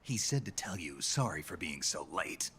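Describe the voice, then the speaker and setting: A man playing a stoic, battle-hardened American special agent in a video game, with a gravelly voice and terse, serious delivery. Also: sits low, very animated, moderate pace, fairly bright tone, slight background noise. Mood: firm. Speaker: voice actor. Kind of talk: cinematic video game voice acting.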